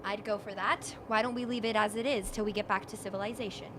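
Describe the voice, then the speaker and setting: A woman's voice: mid-range voice, fast talker, natural voice. A woman playing a wry, teasing member of a polar expedition in a radio play. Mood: practical. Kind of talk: dramatic radio play acting. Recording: clear steady background noise.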